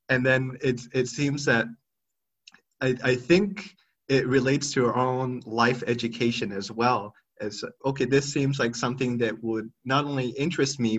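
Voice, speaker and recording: A man in his mid-thirties speaks at an average pitch, reflective and measured. He hesitates often, restarting phrases as he gathers his thoughts. The sound is slightly dull and lo-fi. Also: fairly bright tone. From Thailand. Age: 30s. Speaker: podcast guest. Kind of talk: reflective interview answers.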